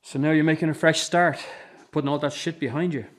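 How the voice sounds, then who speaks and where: A man's voice: fairly high pitch, fairly even intonation, a little fast, natural voice. A middle-aged man acting in a radio drama as a regretful estranged husband and father, subdued and resigned in manner.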